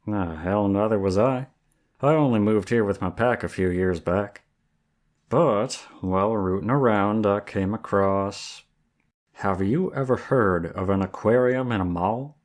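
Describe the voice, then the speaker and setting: A man voicing a flirty, friendly werewolf guide in an ASMR roleplay, with a folksy, teasing Southern US drawl. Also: lowish voice. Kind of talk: ASMR roleplay, intimate one-sided dialogue.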